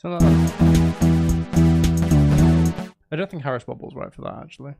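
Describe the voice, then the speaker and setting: Male streamer, casual and self-directed, gravelly voice with very mobile pitch, thinking out loud over loud instrumental music. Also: sing-song, normal speed. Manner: thinking aloud, muttering repeated phrases to himself. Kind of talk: casual live-stream commentary while making music. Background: music being composed, guitar and instruments.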